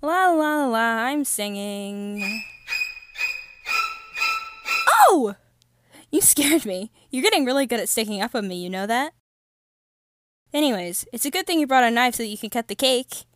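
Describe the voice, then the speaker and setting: A woman voicing a sweet, bubbly young female crewmate in a comic dub, with a cutesy, expressive delivery. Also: a little high, unhurried, pauses often, no echo, clean studio sound. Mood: cheerful. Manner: cutesy, sing-song, expressive.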